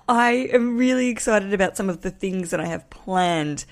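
A woman with a croaky voice talking alone on her podcast, informal and self-mocking, with the odd swear. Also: mid-range voice, measured pace. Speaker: podcast host. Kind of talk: solo podcast talk.

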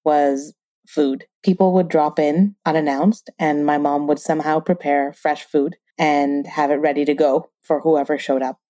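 Low-voiced calm Canadian woman. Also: measured pace, no room sound. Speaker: podcast co-host. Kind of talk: casual podcast intro.

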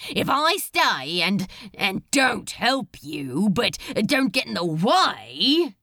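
A woman acts out an exasperated male demon for an audiobook story. The voice is gravelly with a forceful edge, swinging widely as it drawls and grumbles. Delivery is unhurried, grudging and sardonic. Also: mid-range voice, sing-song, no echo, clean studio sound, exaggerated voice. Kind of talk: audiobook character voice.

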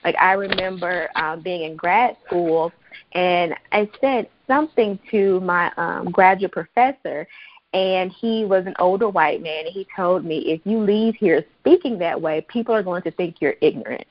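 An American woman from Mississippi giving explanatory interview answers as a therapist, in articulate, measured professional phrasing. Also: lowish voice, no room sound, highs cut off. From Mississippi, United States. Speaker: psychotherapist, interview guest.

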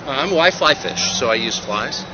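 A man's voice: mid-range voice, lively intonation, moderate pace. A man from California, talking politely and asking short curious questions in a casual outdoor chat. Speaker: fly fisherman in the park. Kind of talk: casual street conversation. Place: outdoors in a city park. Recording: dull outdoor street recording with city noise.

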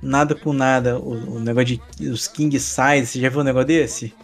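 A young man with a Rio de Janeiro accent, co-hosting a casual podcast chat and telling a story with animated energy. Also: medium pitch, normal speed, gravelly, soft background music. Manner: animated storyteller, sings a joke samba lines. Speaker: podcast co-host.

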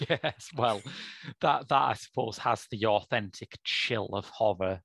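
A man co-hosting a podcast, his voice low and gravelly with a lot of fry. He speaks thoughtfully with dry, wry humor. His voice is recorded close and dry, with no room sound. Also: takes it slowly, fairly bright tone, no echo. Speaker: podcast co-host. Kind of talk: casual chat.

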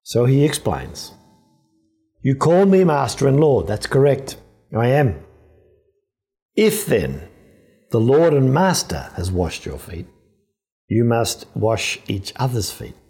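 An older man, a priest, giving a reflective scripture sermon in a deliberate, earnest way. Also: mid-range voice, big pitch swings, gravelly, mellow.

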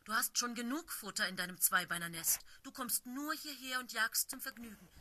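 A woman's voice: mid-range voice, normal speed, sharp tone, a little hiss or hum. A woman voicing a proud, stern she-cat who leads a warrior clan, speaking in a firm, commanding way. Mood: angry.

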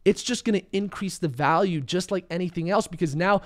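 A young man, a little hoarse and under the weather, confidently walking viewers through market charts in a casual commentary. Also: high-pitched, fairly quick.

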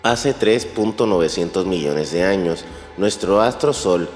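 A man's voice: low-pitched, animated, unhurried. A man reading scripted documentary narration in formal, complete sentences. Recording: reverberant voice over music.

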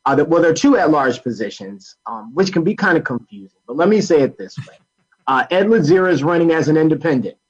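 Man, American accent, higher voice, halting explanations, repeated words, interview guest, dull lo-fi audio. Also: animated, breathy edge. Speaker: guest, labor council political director. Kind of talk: radio interview, political advocacy talk.

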